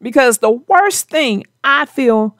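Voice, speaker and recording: A woman's voice: high-pitched, animated, takes it slowly, a bit bright, no room sound, studio quality. A woman hosting a children's read-aloud, narrating a storybook and chatting casually about it.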